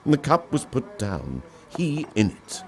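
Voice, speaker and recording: A man reading a story aloud in an expressive, theatrical storybook style. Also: mid-range voice, takes it slowly, slight background noise. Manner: theatrical, dramatic delivery. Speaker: story reader.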